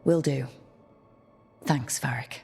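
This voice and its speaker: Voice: mid-range voice, slow, creaky, darkish tone, breathy edge, exaggerated voice. An actor playing a young fantasy team leader in a video game, earnest, frank and a little unsure. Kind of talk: video game dialogue acting. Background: background music.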